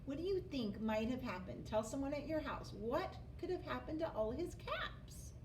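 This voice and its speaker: A woman reading a picture book aloud to children as an expressive storyteller who engages her listeners. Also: high-pitched. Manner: expressive, pauses to ask listeners questions. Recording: distant mic, faint background noise.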